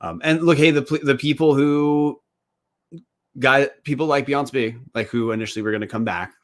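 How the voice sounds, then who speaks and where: A man's voice: medium pitch, fairly even intonation, normal speed. A man co-hosting a casual sports podcast, teasing his co-hosts and rambling as he talks. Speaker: podcast co-host.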